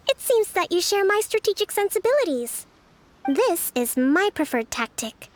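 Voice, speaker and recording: A woman playing a composed, polite young military leader and strategist in a video game, speaking in a measured, formal, articulate way. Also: very high-pitched, sing-song, full character voice. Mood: calm, calculating. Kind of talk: scripted video game voice acting. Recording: music behind the voice.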